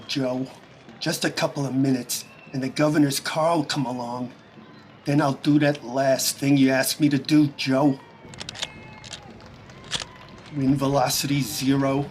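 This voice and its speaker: A man plays an obsessed young sniper in an old-time radio play. His voice is high for a man and agitated, with slangy, childlike insistence. Faint noise sits behind him. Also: natural voice. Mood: brooding, intent. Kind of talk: 1930s radio drama acting.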